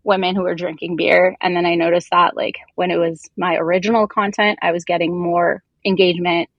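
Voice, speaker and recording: A woman's voice: fairly low pitch, level tone, brisk, dry recording, dull audio. A talkative woman, a native Turkish speaker raised in Canada and the US, chatting informally as a podcast guest. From immigrant raised in Canada and the US. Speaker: podcast guest, beer content creator. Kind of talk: casual chatty interview.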